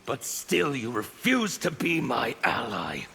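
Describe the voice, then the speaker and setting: Gravelly, scarred revolutionary zealot for a fighting game, bright forceful edge, slow defiant delivery. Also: male voice, mid-range voice, huge pitch swings, a little hiss or hum, full character voice. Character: bitter fanatical male revolutionary of chaos, scarred and menacing. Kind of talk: video game fight banter.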